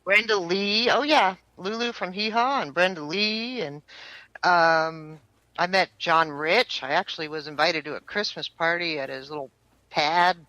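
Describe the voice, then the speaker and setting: An American singer-songwriter in her fifties chats through an interview, rambling along with plenty of fillers. Her voice is bright and forward. The sound is dry and close. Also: lowish voice, a little slow, no room sound, low-quality recording. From United States. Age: 50s. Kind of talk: casual interview chat.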